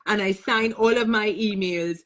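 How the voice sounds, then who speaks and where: A woman from Trinidad with a Trinidadian accent, a guest telling stories in an interview on a video call, animated and laughing often. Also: mid-range voice, moderate pace. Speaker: guest, business owner. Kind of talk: casual interview storytelling.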